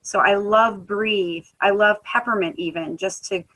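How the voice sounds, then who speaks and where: Bright-voiced woman sharing an earnest testimony. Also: medium pitch, measured pace, rough recording. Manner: earnest, conversational, frequent um fillers. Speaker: guest speaker, musician. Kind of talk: personal testimony at a wellness presentation.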